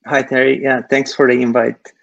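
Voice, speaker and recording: A man from Serbia, answering interview questions haltingly over a video call, filling pauses with lots of uh. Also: medium pitch, level tone, vocal fry, no room sound, highs cut off. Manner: hesitant, many uh fillers. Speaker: guest, startup technical founder.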